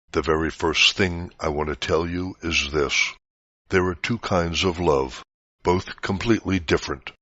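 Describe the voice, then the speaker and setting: A man reading a scripted spiritual teaching aloud in a measured, didactic way. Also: deep voice, pushed and bright. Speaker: reader of spiritual articles. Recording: dull, roomy lo-fi recording.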